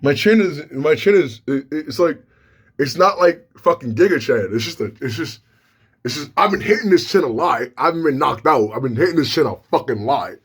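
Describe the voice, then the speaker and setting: Young male vlogger, deep, slightly slurred delivery, loose and frank, swears freely. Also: expressive, fairly quick, slightly rough. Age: young adult. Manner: rambling, frank, profane, many restarts. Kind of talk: casual talk to camera.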